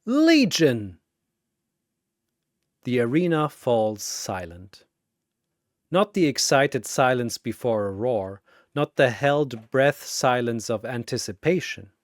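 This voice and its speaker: Guy doing dramatic battle story narration, slow and punchy phrases, big pitch swings, dry sound. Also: fairly bright tone, no room sound. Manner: theatrical, measured, pausing between short phrases.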